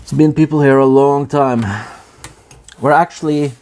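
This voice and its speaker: Slow, rambling Norwegian man. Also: a little high, level tone, a little mellow, slight background noise. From Norway. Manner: rambling, halting, many fillers and restarts. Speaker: woodworking streamer. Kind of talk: casual live-stream chat.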